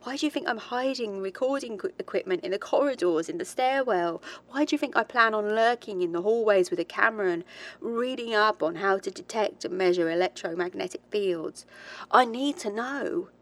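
A woman's voice: medium pitch, normal speed, close to an everyday voice. A woman playing a haunted, determined researcher who gives a reflective, hesitant confession in a horror audio drama diary. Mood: insistent. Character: haunted, determined woman researcher, British-born, recalling childhood ghosts.

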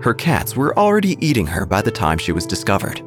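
A man narrating a dark comic story in a dry, deadpan way. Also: lowish voice, a little fast. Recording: music bed under the voice.

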